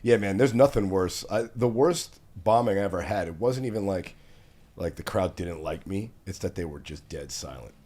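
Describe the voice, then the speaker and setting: A man's voice: deep voice, animated, moderate pace, darkish tone. A young man hosting a podcast, joking and teasing in casual chat. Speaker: podcast host.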